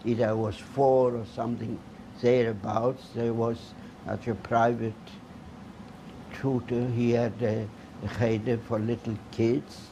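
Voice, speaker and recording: An older man from Poland, first language Polish, giving oral history testimony in a halting way with many restarts. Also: mid-range voice, vocal fry, dark tone, a little hiss or hum, rough recording. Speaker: Holocaust survivor giving testimony.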